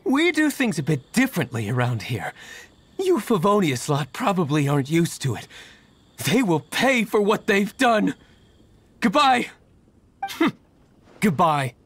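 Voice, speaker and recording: Haughty, highish-voiced young braggart. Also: male voice, very animated, measured pace, pauses often, a bit bright, husky, full character voice. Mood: defiant. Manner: haughty, melodramatic. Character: smug, theatrical young male village braggart. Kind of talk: video game voice acting.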